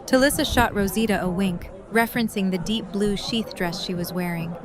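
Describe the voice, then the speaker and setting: Lady narrator reading a story, medium pitch, party music going behind her. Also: normal speed. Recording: music and crowd chatter behind.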